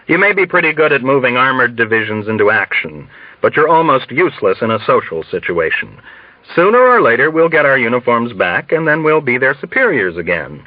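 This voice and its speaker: A man reading a novel as a calm, jaded male general with a weary, condescending manner. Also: medium pitch, brisk, no room sound, narrow, tinny audio, natural voice. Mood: weary, sardonic.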